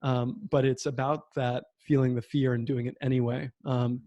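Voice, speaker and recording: A man in his thirties speaks in a mellow, relaxed tone, recounting his path in an unhurried, reflective way full of small pauses and fillers. The sound is dry and close, like a home video call. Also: medium pitch, dry recording, dull audio. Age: 30s. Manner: reflective, storytelling with many fillers. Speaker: podcast host. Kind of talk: conversational interview. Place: home room with bookshelf.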